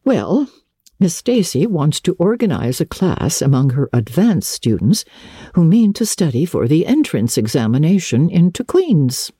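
A woman voicing a stern, practical older female guardian, brisk and no-nonsense, in a dramatic storybook reading. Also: low-pitched, very animated, mellow, slightly rough, no echo, clean studio sound, full character voice. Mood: practical, reassuring. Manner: brisk, matter-of-fact, no-nonsense. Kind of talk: dramatic audiobook reading of a classic novel.

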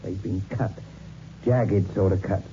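An actor playing a grave local police sergeant who tells ghost tales in a folksy, ominous manner, in 1960s radio drama style. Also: male voice, sits low, fairly even intonation, slow, dark tone. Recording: muffled, hissy old radio recording.

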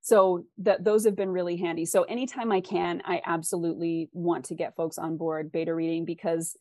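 A woman's voice: fairly low pitch, little pitch movement, brisk, warm tone, dry recording. A woman giving thoughtful, conversational interview answers as a guest author, explaining things and acting out imagined questions.

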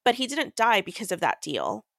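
Female podcast co-host, mid pitch, dry sound. Casual book chat, sincere, explains herself thoroughly. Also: huge pitch swings, normal speed, gravelly, a bit bright, no echo. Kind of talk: casual chat about books.

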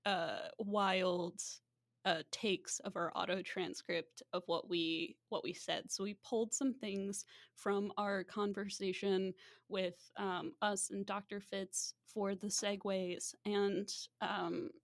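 A woman's voice: medium pitch, little pitch movement, slow pace, no room sound, clean studio sound. A woman hosting a podcast in casual, chatty banter, often giggling as she talks. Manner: giggly, laughs often while talking. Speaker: podcast host.

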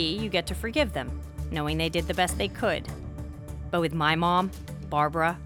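Woman acting as wry young daughter, slightly low pitch, self-deprecating, introspective audio drama delivery, prominent music bed. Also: lightly acted. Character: sheltered, wry twenty-three-year-old daughter, a genetics PhD student. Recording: music behind the voice.

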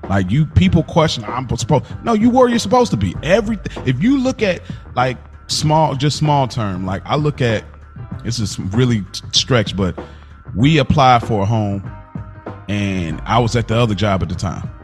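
A man co-hosting a podcast in casual, slangy, informal talk, telling stories in an animated way. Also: medium pitch, moderate pace, faint music behind. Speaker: podcast co-host. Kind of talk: casual chat.

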